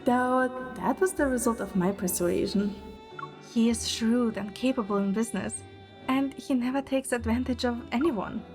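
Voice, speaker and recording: A woman's voice: fairly high pitch, moderate pace, a little mellow, faint music behind. A woman performing scripted first-person narration and lead dialogue in an audio drama.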